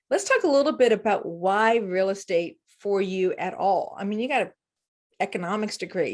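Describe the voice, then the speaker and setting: A woman hosting an interview podcast, introducing her guest and asking questions in a lively, joking way with quick asides. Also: mid-range voice. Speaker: podcast host. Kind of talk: casual interview.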